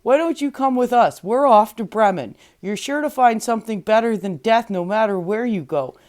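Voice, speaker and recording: A woman's voice: on the high side, expressive, normal speed, a little mellow, natural voice. A woman voicing a friendly, eager male donkey, the hearty ringleader in a storybook tale, with emphatic delivery. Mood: persuasive.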